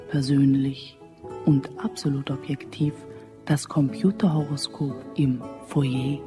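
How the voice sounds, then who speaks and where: Low-voiced shopping centre announcer over music. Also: female voice. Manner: measured, formal. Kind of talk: scripted promotional announcement. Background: plucked guitar music.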